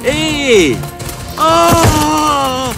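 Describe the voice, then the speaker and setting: A man playing a hapless young apprentice tailor in a comic storybook tale, expressive, whiny and sardonic. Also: very high-pitched, drawn-out words, music in the background, exaggerated voice. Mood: alarmed. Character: hapless young male apprentice tailor, polite but sarcastic. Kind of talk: comic acted storybook dialogue.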